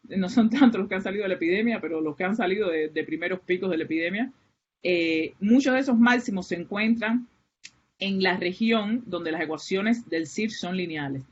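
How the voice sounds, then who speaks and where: A woman's voice: mid-range voice, a little fast, husky. A woman from Cuba with a Cuban Spanish accent, giving an academic seminar with many fillers and restarts. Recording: muffled, lo-fi online lecture audio.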